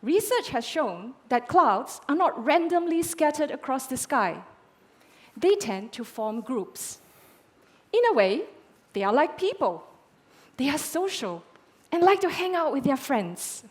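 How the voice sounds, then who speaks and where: A woman in her thirties from Malaysia, a climate scientist giving a rehearsed pitch on a conference stage with dramatic, measured pauses. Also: sing-song.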